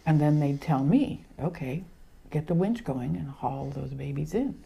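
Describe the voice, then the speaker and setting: A middle-aged woman born in Argentina and raised in Los Angeles, recalling her past with animated, laughing storytelling. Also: deep voice, measured pace, vocal fry, slightly rough, low-quality recording. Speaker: interview guest, photographer. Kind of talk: casual storytelling.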